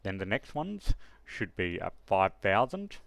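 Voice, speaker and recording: Low, creaky-voiced man giving a tutorial. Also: big pitch swings, unhurried, fairly bright tone, no room sound. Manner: thinks aloud, instructional. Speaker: tutorial host. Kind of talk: step-by-step screen tutorial narration, unscripted.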